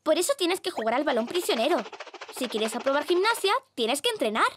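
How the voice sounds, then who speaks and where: A woman voicing a bossy, energetic little girl coach in a children's cartoon, commanding and encouraging. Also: high-pitched, a little fast, background noise. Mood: instructive.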